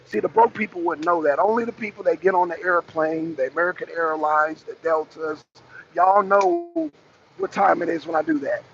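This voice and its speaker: A raspy-voiced man hosting a casual rant to his viewers from his truck, blunt and profane as he calls out rivals. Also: fairly high pitch, very animated, moderate pace, fairly bright tone, dull audio, a little hiss or hum. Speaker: host. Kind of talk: casual rant to a live audience. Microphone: phone camera.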